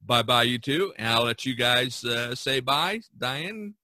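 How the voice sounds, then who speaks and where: A man's voice: mid-range voice, unhurried, bright, forceful tone, no echo, clean studio sound. Man podcast host, casual rambling chat.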